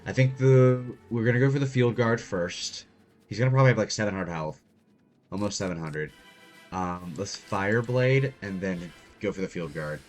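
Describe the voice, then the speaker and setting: A soft-spoken young man casually commenting on his gameplay as host. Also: fairly low pitch, moderate pace, soft background music. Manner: quiet, soft-spoken, laid-back. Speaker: gaming channel host.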